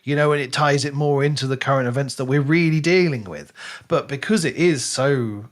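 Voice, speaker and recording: A man's voice: mid-range voice, a little fast. A man co-hosting a casual book discussion podcast, speaking in an emphatic, analytical way. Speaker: podcast host.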